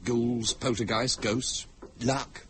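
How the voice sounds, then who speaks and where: An actor playing a sceptical, pedantic husband with an English accent in a British radio drama. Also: male voice, medium pitch, little pitch movement, slow, fairly bright tone, slight background noise. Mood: argumentative. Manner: pedantic, dismissive. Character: argumentative, sceptical husband, pedantic bridge player.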